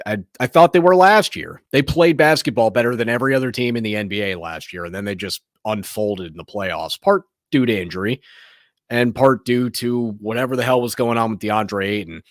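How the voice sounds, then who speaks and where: A man with a slightly hoarse, raspy voice talks through his sports opinions in a loose, rambling way, often starting a sentence over. His pitch sits at an ordinary middle level. The sound is dry and close, with no room echo. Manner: rambling and opinionated, frequent restarts. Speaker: sports show host. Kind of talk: casual opinionated sports commentary.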